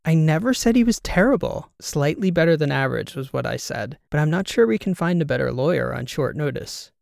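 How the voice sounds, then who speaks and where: A man voicing a sharp-tongued female podcast co-host who talks with dry sarcasm. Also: a little high, animated, fairly quick, creaky, no echo, pitched above the performer's own voice. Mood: sardonic.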